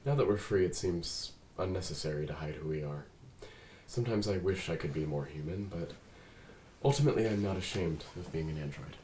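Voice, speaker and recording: A man reading the part of a calm, formal, earnest android detective in a drama script. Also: low voice, a little fast, close to an everyday voice. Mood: calm, curious. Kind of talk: table read of a drama script. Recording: roomy, faint noise.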